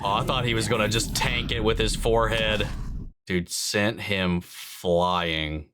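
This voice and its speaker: A man's voice: lowish voice, fairly even intonation, unhurried, a bit bright, faint music behind. A man hosting a reaction video with casual, laid-back and dryly amused commentary.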